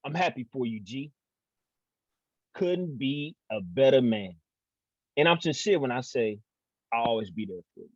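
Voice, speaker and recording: A man's voice: medium pitch, animated, measured pace, long pauses, a little mellow, husky, dry recording. Dude doing a grandson hustling his grandpa, whiny and huffy, dry lo-fi audio. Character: young man hustling his grandfather for cash. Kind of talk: table read acting.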